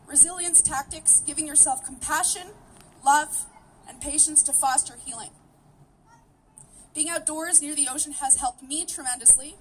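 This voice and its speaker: A woman's voice: high-pitched, a little hiss or hum. A woman, who first spoke Greek, gives an earnest, determined prepared speech at an outdoor rally. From Canada. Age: 30s. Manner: earnest, steady, determined delivery. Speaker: rally speaker. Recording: voice picked up from a distance.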